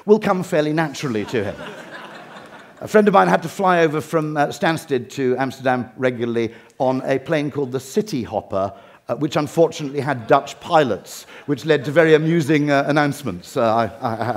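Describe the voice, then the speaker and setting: A man's voice: on the high side, a little mellow. A middle-aged English man giving a humorous talk, with dry wit and ironic asides. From England. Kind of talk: humorous lecture.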